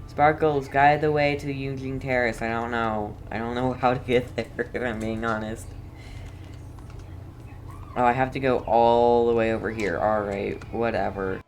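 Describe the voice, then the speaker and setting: Low-voiced, slow-paced woman commenting on a game. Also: a little mellow. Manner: rambling, self-questioning. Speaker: game streamer. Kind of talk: casual gameplay commentary. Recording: clearly noisy background.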